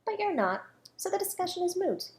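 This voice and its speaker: A woman playing a self-assured academic under suspicion of murder in a flirty audio roleplay, teasing and composed, with deliberate, condescending delivery. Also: very high voice, sing-song, darkish tone. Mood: amused, flirty. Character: coy, self-assured female academic, a murder suspect toying with detective. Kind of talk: flirty audio roleplay, one-sided dialogue.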